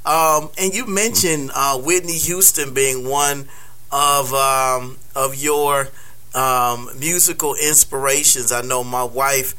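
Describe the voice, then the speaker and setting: Slow, bright-voiced radio host. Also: male voice, medium pitch, steady tone. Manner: slow, halting, many fillers and restarts. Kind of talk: radio interview.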